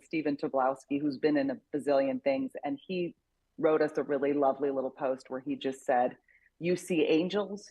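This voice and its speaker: A woman's voice: low-pitched, a little mellow, breathy edge, no echo, low-quality recording. A woman chatting casually and enthusiastically as an interview guest actress and producer.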